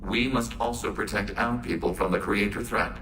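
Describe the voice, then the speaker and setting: A man playing a calm, literal-minded machine android in a video game, speaking formally and without emotion through a robotic filter. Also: on the low side, moderate pace. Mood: flat, formal. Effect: synthetic robot filter. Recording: clear background noise and ambience.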